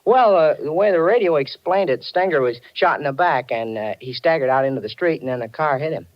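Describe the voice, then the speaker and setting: A man plays a folksy, gossipy garage attendant in an old radio mystery. His voice is a little high and he rambles with chummy hesitations. The 1940s recording is slightly muffled. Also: lively intonation, a little fast, lightly acted.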